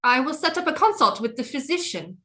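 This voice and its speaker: A woman's voice: high-pitched, sing-song, brisk, bright, forceful tone, full character voice. An actress playing a proud, brooding queen mother in an audio play, resentful of her critics, with a regal, formal and dramatic delivery. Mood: imperious, questioning.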